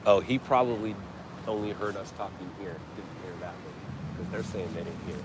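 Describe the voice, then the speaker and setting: A man hosting a live stream, chatting casually with viewers. He has a deep voice that carries. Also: fairly even intonation, normal speed. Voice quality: deep, carrying voice. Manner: offhand, chatty, thanks viewers by name. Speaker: livestream host. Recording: noisy outdoor street recording.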